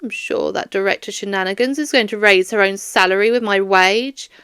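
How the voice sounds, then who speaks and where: A woman's voice: medium pitch, fairly quick, fairly bright tone, clean tone, no room sound, clean studio sound, voice pushed down, lightly acted. A woman voicing a flustered, doting older woman who works at a museum, in a rambling, plaintive and baffled manner, for an audiobook. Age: 60s.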